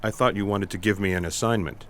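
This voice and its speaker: An actor playing an earnest, literal-minded special agent with a polite, formal, deadpan manner in a comic audio drama. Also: male voice, lowish voice, quick pace, close to an everyday voice. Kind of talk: comic sci-fi audio theatre acting.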